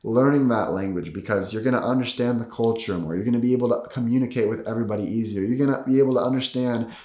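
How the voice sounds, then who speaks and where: A man's voice: medium pitch, quick pace, darkish tone. American man vlogging in an echoey room. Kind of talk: casual talk to viewers. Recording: roomy echo.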